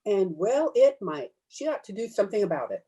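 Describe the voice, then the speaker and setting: A woman's voice: medium pitch, big pitch swings, brisk, dark tone, slightly rough. A woman playing a blunt, disapproving, bitter family friend in an old-time radio play. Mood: puzzled, critical. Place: home, over a video call.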